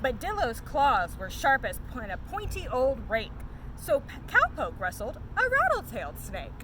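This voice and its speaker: A woman hosts story time, reading a rhyming picture book in a sing-song way. Her voice is high and swoops up and down with plenty of expression. The recording has distinct background noise. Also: huge pitch swings, normal speed. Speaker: story time host and reader. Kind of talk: animated read-aloud of a children's picture book.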